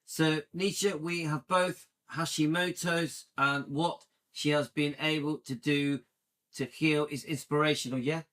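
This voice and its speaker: A man's voice: on the high side, fairly even intonation, unhurried, fairly bright tone. A man in his late fifties from the UK, chatting casually and enthusiastically with viewers on a livestream and often checking in with "yeah?". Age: 50s. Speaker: livestream host.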